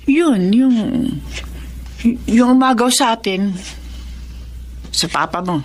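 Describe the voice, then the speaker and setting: A woman playing an ailing, grief-stricken mother in a radio drama, talking slowly and repeating herself when agitated. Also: on the high side, expressive. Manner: slow, then agitated and repetitive. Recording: noisy background ambience.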